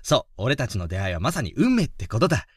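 Man acting excitable, wildly expressive schoolboy. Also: medium pitch, fast, no room sound, studio quality. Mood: cheerful. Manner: rough casual speech, excitable, blustering. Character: hot-blooded, friendly, easily flustered high-school boy. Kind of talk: Japanese youth romantic-comedy voice drama.

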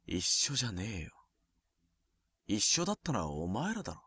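A young man playing a bitter, jealous high school boy in a Japanese voice drama, with a cutting, taunting way of talking. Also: huge pitch swings, normal speed, bright, forceful tone, husky, no room sound, studio quality, full character voice. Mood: wounded, angry.

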